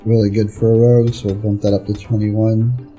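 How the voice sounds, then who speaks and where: Very mellow, dark-voiced man gaming. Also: fairly low pitch, level tone, brisk, poor audio. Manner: rambling, thinking aloud. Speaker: gameplay streamer and reviewer. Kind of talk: casual gameplay commentary. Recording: game music behind the voice. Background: video game music.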